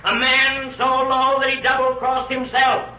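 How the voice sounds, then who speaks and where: A man's voice: very high voice, completely flat delivery, a little slow, sharp tone, exaggerated voice. A man acting an impassioned prosecutor in a 1930s radio drama, orating emphatically to a jury. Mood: impassioned, accusing. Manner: oratorical, emphatic. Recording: dull noisy old radio, echoey, distant.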